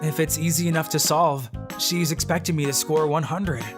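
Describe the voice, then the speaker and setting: A man's voice: fairly high pitch, moderate pace, over music, close to an everyday voice. A man voicing a dry, secretly genius male student narrating his thoughts in a manga dub. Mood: deadpan. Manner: deadpan inner monologue.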